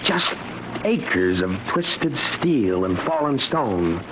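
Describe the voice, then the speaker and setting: An actor in an old-time radio drama playing an awed, horrified visitor to the future, speaking hesitantly and earnestly with stammers. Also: male voice, medium pitch, sing-song, very slow. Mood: awed, shaken. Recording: noisy, muffled vintage radio recording.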